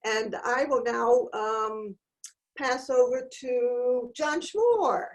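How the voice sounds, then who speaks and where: A woman theatre teacher introducing her courses on a video call, halting with many fillers. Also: a little high, rough recording. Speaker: theatre faculty member.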